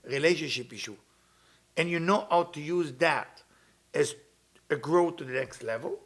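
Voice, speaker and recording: A man, his voice sitting a little higher than most men's. He teaches with earnest conviction, circling back over his phrases in loose grammar. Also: a bit bright. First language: Hebrew. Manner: earnest, repeats phrases, non-native grammar. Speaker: kabbalah teacher. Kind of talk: weekly spiritual talk.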